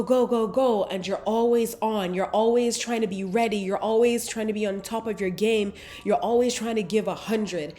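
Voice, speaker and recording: Mellow woman with a cold. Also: medium pitch. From Caribbean. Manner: affectionate, motivational, conversational. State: congested from a cold, sniffly. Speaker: podcast host. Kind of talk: casual spiritual podcast talk. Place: London apartment near a busy road.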